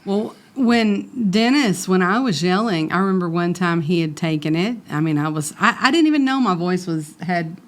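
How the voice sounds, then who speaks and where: Woman, lower voice, host of a faith talk, earnest, personal. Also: normal speed, few pauses. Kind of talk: casual conversation.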